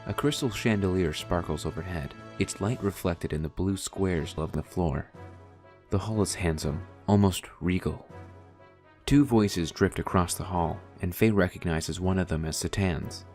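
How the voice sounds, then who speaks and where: A man's voice: sits low, moderate pace, darkish tone, faint music behind. A man reading scripted, descriptive narration for an audio drama.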